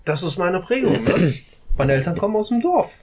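A man's voice: high voice, sing-song, moderate pace, background noise. A man in a casual group discussion, talking at length and often ending phrases with a questioning "ne?". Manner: talkative, often adds a questioning "ne?".

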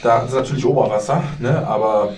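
A man chatting casually about football, tossing in quick joking asides. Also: medium pitch, darkish tone, distant mic, a little hiss or hum. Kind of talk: casual football chat among friends.

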